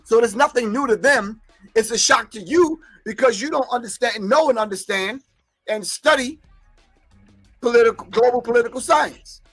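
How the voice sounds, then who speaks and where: A man's voice: very high voice, normal speed, fairly bright tone, soft background music. A man whose first language is not English, hosting a livestream and preaching his points emphatically while answering chat comments. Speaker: host.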